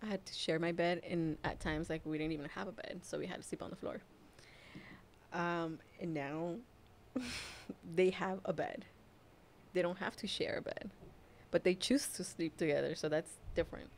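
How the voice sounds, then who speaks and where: Soft-spoken, hesitant young woman from Mexico. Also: fairly low pitch, moderate pace, pauses often, a little hiss or hum. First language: Spanish. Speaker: guest, mother. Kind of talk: casual personal storytelling.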